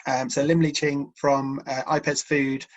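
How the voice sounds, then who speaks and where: Male online panel moderator, slightly husky, even-pitched, halting and conversational. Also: highs cut off. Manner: hesitant, halting with repeated words. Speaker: event host and moderator.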